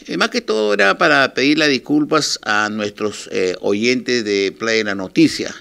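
An older man with a Peruvian Spanish accent speaks haltingly and informally in an interview, addressing his listeners. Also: medium pitch, animated, vocal fry, bright, forceful tone, clean tone. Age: 60s or older. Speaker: radio station manager, interviewee. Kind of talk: informal televised statement to listeners. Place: small office, indoors. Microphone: handheld reporters' microphones held close.

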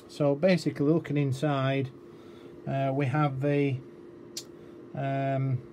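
A man's voice: mid-range voice, warm tone. A man giving an informal technical walkthrough as a repair demonstrator, explaining hesitantly and thinking aloud. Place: indoor electronics workbench. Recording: clearly noisy workshop room recording.

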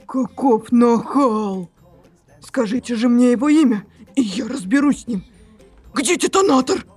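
A woman's voice: on the high side, lively intonation, a little slow, darkish tone, slightly rough. Woman playing a theatrical young male hero. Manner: theatrical, exclaiming. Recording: faint background music.

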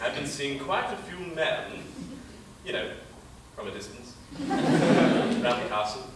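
A man's voice: medium pitch, very animated, a little slow. Man as a camp British pantomime dame, echoey hall. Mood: chatty, flirty. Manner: exaggerated, playful, projecting to an audience. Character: camp, flamboyant middle-aged pantomime dame nanny, flirty and motherly. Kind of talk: stage pantomime acting. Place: on stage in a theatre, far camera. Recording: distant, echoey theatre hall, noisy ambience.

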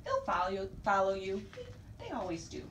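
A woman's voice: mid-range voice, huge pitch swings, normal speed, fairly bright tone. A woman voicing a friendly, knowing bakery lady in a storybook reading. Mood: sweet. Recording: roomy distant recording with faint hiss.

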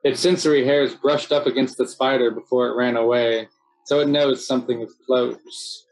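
A middle-aged man, presenting a slideshow in a relaxed, chatty way full of "so yeah" and "um", speaking over a video call from home. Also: mid-range voice, moderate pace, faint music behind, low-quality recording. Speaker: city cave biologist presenting. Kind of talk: casual narrated slideshow talk.

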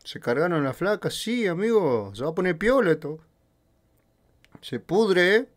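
Brief: young Argentine streamer, higher voice, unhurried, joking banter. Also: male voice, very animated. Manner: joking, loud outbursts, sings along. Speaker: streamer reacting to a series. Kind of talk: casual live-stream reaction chat.

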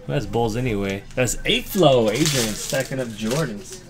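A man hosting a card break, reading out names as he opens packs and chatting with viewers, with sudden bursts of excitement. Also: mid-range voice, normal speed, music in the background. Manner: reads names aloud, animated bursts of excitement, chats with viewers. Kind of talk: casual live card-opening commentary. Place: indoor room, hands over a table of card boxes.

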